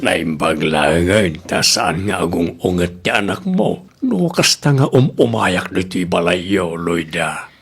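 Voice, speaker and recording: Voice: deep voice, animated, slow, vocal fry, husky, faint music behind, exaggerated voice. An actor playing an old widowed man, a polite and slightly anxious suitor in a radio drama. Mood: anxious, grateful. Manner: polite, deferential.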